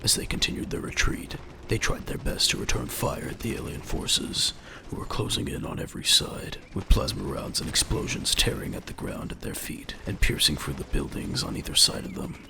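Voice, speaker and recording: A man narrating a scripted dramatic story in a measured, descriptive way. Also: very deep voice, animated, creaky, background noise.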